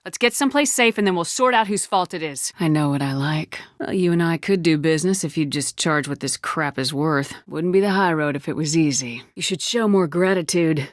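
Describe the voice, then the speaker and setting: A woman's voice: low voice, a little fast, hardly any pauses, dry recording, studio quality, natural voice. A woman voicing a tough, commanding female space soldier in a video game, with a firm, blunt, controlled delivery. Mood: stern, commanding.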